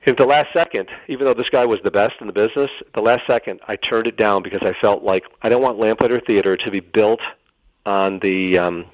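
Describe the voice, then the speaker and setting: A man's voice: lowish voice, a little fast, dry recording, poor audio. A middle-aged man telling a personal story as a radio interview guest, earnest and dramatic, acting out the dialogue and repeating phrases for emphasis.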